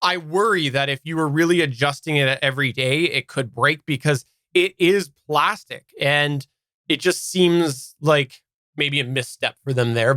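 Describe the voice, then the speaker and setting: A man's voice: medium pitch, pushed and bright, dry recording. A man from Canada giving a casual, unscripted product review as a podcast host, explaining in detail and stressing key words.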